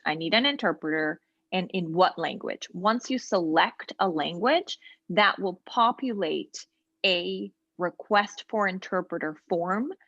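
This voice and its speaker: A woman's voice: lowish voice, a bit bright, no room sound. Patient woman trainer on a dull video call. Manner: patient, explanatory, with frequent ums and asides. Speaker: legal aid trainer. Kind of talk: walkthrough of online software in a role-play interview.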